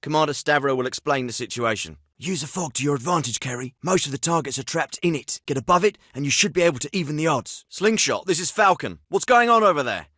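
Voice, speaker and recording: An actor playing a composed, clipped military officer in a video game, dry and commanding. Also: male voice, a little high, expressive, fast, few pauses, pushed and bright, no echo. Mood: urgent. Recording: dry studio recording.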